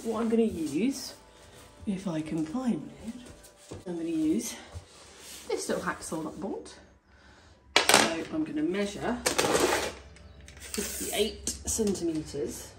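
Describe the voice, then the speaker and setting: A woman giving a casual DIY tutorial at home, thinking aloud as she works on a staircase. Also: lowish voice. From United Kingdom. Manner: chatty, thinking aloud while working. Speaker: DIY home renovation vlogger. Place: indoor hallway and staircase at home. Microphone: handheld phone or camera filming her hands.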